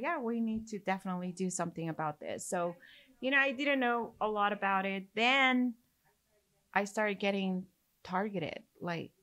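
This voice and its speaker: A woman's voice: mid-range voice, a bit bright. A middle-aged woman from Mexico with a Mexican Spanish accent, hosting a podcast and chatting casually, rambling through stories with lots of fillers. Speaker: podcast host. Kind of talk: casual chat.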